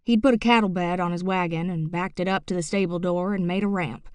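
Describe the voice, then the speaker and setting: A woman's voice: fairly low pitch, steady tone, a little fast, a little mellow, clear, resonant tone, no room sound, studio quality, lightly acted. Folksy uncle spinning a yarn, read by a woman. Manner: drawling folksy storytelling. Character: middle-aged rural man, folksy tall-tale teller. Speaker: audiobook narrator. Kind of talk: audiobook character reading.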